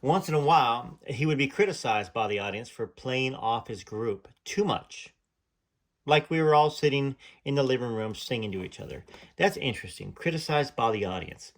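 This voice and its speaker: A man's voice: medium pitch, lively intonation, normal speed. A man reading aloud from a memoir in an earnest tone, stopping now and then for chatty, hesitant asides. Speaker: channel host reading from a memoir.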